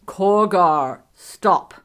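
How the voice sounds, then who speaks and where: A woman voicing a big, simple-minded male warrior in a fantasy story, speaking in halting, blunt, broken phrases. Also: mid-range voice, a bit bright, clean tone. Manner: halting broken speech, few blunt words. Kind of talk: fantasy audiobook character acting.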